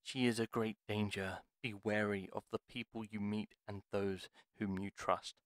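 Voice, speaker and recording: A man's voice: on the low side, level tone, moderate pace, no echo, natural voice. A man playing a bitter, curt pharmacist healer in a fantasy audio roleplay, speaking in stern, formal phrases. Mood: grave.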